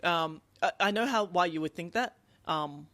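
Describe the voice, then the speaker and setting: High-pitched man chatting about books, dry sound. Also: normal speed, sharp tone, no room sound. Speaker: podcast co-host. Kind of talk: casual book discussion.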